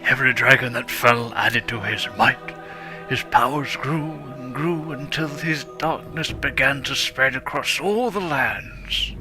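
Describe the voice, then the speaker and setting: A man voicing a wise old male fire dragon mentor, telling the legend in a solemn, measured way, with music underneath. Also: medium pitch, close to an everyday voice. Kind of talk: dramatic script reading.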